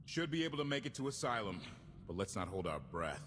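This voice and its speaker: Very animated exasperated starship pilot. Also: male voice, fairly low pitch, a little fast, long reverb tail, background noise. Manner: sardonic, expressive. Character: exasperated, passionate male starship pilot and tinkerer. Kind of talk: video game cutscene acting.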